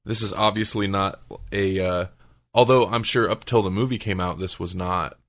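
A man's voice: low-pitched, steady tone, moderate pace. A man hosting a podcast, talking casually and conversationally with his co-hosts. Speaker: podcast host.

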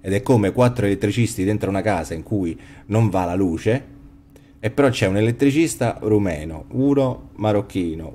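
A man in his forties talks through a headset from home. His voice is very low and mellow, and he thinks aloud, circling back and restarting his phrases. A faint hiss sits under the call. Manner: reflective, restarts often, trails off. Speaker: podcast host. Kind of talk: casual philosophical chat. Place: home room, video call.

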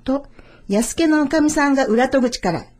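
A woman reading a children's tale as scripted narration, in a measured, expressive storytelling manner. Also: high voice.

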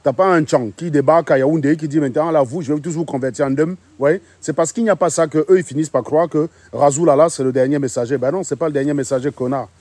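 Brisk combative livestream host, fairly high voice, phone held out. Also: male voice, a little mellow, no room sound. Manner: combative, mocking, insistent questioning. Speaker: live stream host. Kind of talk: casual livestream chat. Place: outdoors on a sunny street. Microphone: phone at arm's length.

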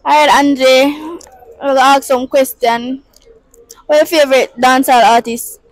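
A young Jamaican woman doing casual street interviews outdoors with a handheld mic, upbeat and quick to laugh. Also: high-pitched, steady tone, dry recording, low-quality recording. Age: young adult. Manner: upbeat, laughing, patois-mixed questions.

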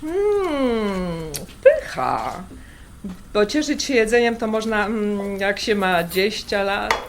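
A woman's voice: mid-range voice, big pitch swings, takes it slowly, creaky, full character voice. A woman playing a wistful, prickly middle-aged artist in a naturalistic radio drama, sighing and a little defensive. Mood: casual, sighing. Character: wistful, prickly artist, sensitive elder-daughter type. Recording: background noise of a kitchen scene. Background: kitchen clatter, pots and dishes.

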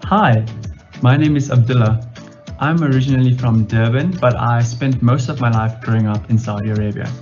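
A man's voice: mid-range voice, moderate pace, darkish tone, highs cut off, soft background music. A young man graduate giving a friendly testimonial from home. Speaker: recent graduate. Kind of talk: testimonial to camera.

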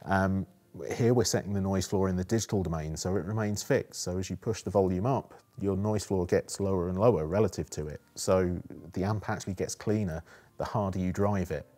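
A man with a deep, dark and relaxed voice talks as an engineer presenting his product. He explains technical details step by step in an interview setting. His delivery shows real enthusiasm for the engineering. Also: fairly quick.

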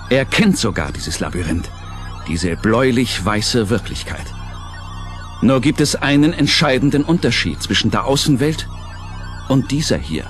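A man narrating a German radio play in a theatrical, deliberate scripted style. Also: mid-range voice.

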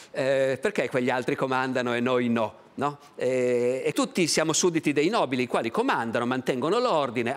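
Uomo sui sessant'anni, storico ospite in tv, italiano, parla animato, microfono a clip, studio televisivo. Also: male voice, medium pitch. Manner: animated, gestures with both hands while explaining. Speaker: historian guest. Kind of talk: lively storytelling on a TV talk show. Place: bright TV studio set. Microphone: lapel mic.

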